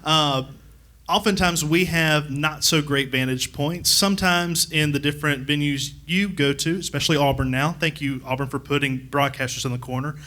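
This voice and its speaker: A man with a bright, slightly high voice speaks casually from an audience. He sounds like a sports announcer putting a question to panelists. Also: fairly even intonation, moderate pace.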